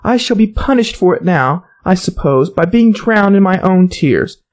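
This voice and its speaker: A man's voice: high-pitched, animated, normal speed, voice pushed up. A man voicing a polite, chatty, easily flustered little girl in a storybook, earnest and wordy. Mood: rueful. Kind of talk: storybook character voice.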